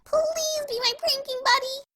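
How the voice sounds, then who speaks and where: An excitable cartoon pony mare who loves pranks. Her voice is extremely high and bright. She talks in gushing exclamations with a bouncy, eager manner. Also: unhurried, exaggerated voice. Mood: excited, cheerful.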